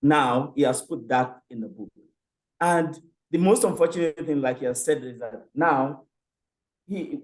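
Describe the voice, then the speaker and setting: Middle-aged man, hesitant academic questioner, filler-heavy delivery, mid pitch. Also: low-quality recording. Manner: hesitant, many fillers and restarts. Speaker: panel questioner. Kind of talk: academic Q&A with a personal story.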